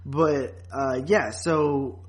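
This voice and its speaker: A man's voice: medium pitch, extremely slow. Casual male podcast co-host, repeating himself.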